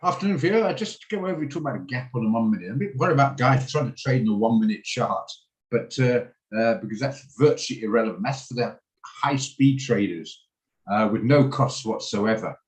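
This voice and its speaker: A man's voice: medium pitch, expressive, brisk, low-quality recording. Guy running a trading room on a video call, rambly, lots of ums and uhs, pokes fun at himself. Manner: rambling, self-deprecating, many fillers; greets attendees by name. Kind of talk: casual live online trading session.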